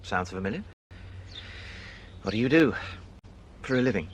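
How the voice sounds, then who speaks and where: An actor plays an aggrieved male novelist in a radio drama, speaking in a fairly low voice with lively rises and falls. He is wry, wary and a little hesitant. Faint noise sits behind him. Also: normal speed, lightly acted. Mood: guarded, wry. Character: resentful, wary male novelist, eager for revenge.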